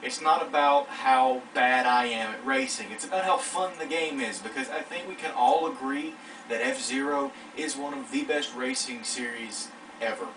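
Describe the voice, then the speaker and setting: A man's voice: medium pitch, lively intonation, moderate pace, noisy background. A man in his late teens chatting casually in a vlog, rambling in an informal, self-deprecating way. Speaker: vlogger. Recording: distant mic, clear music behind.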